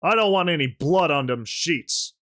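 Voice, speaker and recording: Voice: mid-range voice, lively intonation, moderate pace, a bit bright, natural voice. Storybook villain: big male pony gang boss, played by a narrator, coarse, callous, drawling slangy tough. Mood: bossy. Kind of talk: audio storybook character voice.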